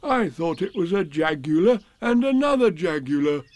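Man doing a slow, solemn storybook bear. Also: fairly high pitch, sing-song, darkish tone, voice pushed up. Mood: placid. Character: placid, slow-thinking male toy bear, solemn and kindly.

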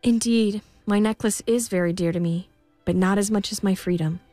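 A woman's voice: mid-range voice, moderate pace, warm tone, no room sound, soft background music, lightly acted. Woman acting an earnest, anxious young storybook girl. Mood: wary. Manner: earnest, pleading. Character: anxious, polite young miller's daughter in fairy tale. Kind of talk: storybook character voice.

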